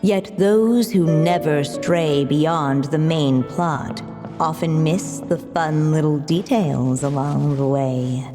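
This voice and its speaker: A woman voicing a calm female narrator who tells a storybook tale in a game, measured and gently teasing. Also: sits low, music in the background. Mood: storytelling. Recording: long hall reverb.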